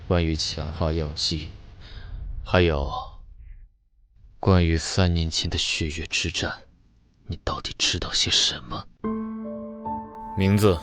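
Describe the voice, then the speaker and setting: An actor playing a stern, powerful veteran fighter in an animated drama, questioning coldly and accusingly. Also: male voice, low voice, takes it slowly, pauses often, soft background music. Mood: cold, probing. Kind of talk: animated web drama dubbing.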